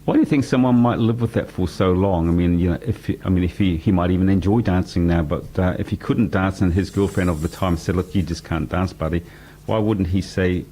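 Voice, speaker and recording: A man hosting a radio talk show who probes his guest with quick questions and pushes back on her points. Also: sits low, mellow. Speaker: radio host. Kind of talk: interviewing on radio.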